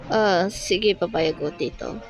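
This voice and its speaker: A woman's voice: lowish voice, takes it slowly, voice pushed down. A woman voicing an easygoing, trusting adult man in an amateur fan dub, speaking in a casual, agreeable way. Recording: music playing underneath.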